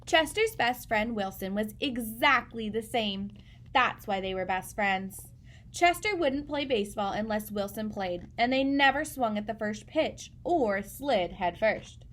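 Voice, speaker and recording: A woman reading a children's picture book aloud in an expressive storytelling style, speaking as if to young listeners. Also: on the high side, measured pace.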